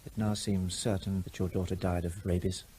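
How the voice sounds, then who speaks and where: An actor playing a formal, polite health official in a radio drama, speaking in a measured, grave way. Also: male voice, low-pitched, little pitch movement, warm tone, slight background noise, natural voice. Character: formal middle-aged male health officer.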